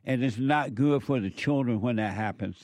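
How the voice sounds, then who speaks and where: A man's voice: medium pitch, moderate pace, a little mellow, no room sound. A man in his seventies hosting a call-in radio show, giving blunt, preachy advice to callers. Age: 70s.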